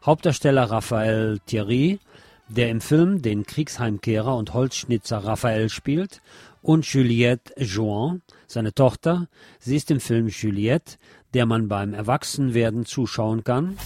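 A man's voice: mid-range voice, animated, slow pace, darkish tone, dry recording, faint music behind. A man giving a personal, opinionated film review in a conversational radio commentary style.

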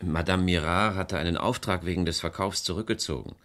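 Low-voiced, harried businessman. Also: brisk, a bit bright. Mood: matter-of-fact. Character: harried married French businessman, defensive and troubled. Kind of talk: German radio crime play acting.

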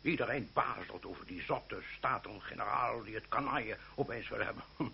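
An actor playing a hot-tempered, gruff older aristocratic uncle in a radio drama, stammering and exclaiming as he blusters. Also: on the low side, normal speed. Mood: subdued, pleading. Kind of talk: Dutch radio drama acting. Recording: muffled, noisy, humming old radio recording.

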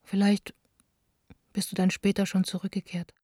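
Radio play: actress as young woman in love, impulsive yet hurt, plaintive, soft dark tone, dry and close. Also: medium pitch, completely flat delivery, normal speed, slightly rough, dry recording, lightly acted. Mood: hurt, longing. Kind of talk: radio drama letter reading.

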